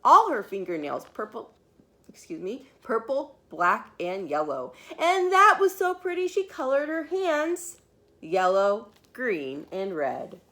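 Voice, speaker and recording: A woman reading a children's book aloud like a preschool teacher, expressive and emphatic, with dramatic pauses and small asides to her young listeners. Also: on the high side. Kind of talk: animated read-aloud storytelling for young children.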